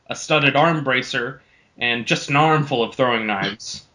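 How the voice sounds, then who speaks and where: A man's voice: mid-range voice, bright, forceful tone. A man hosting a podcast, narrating a homemade adventure story in an informal style. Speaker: podcast host. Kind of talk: animated amateur story narration.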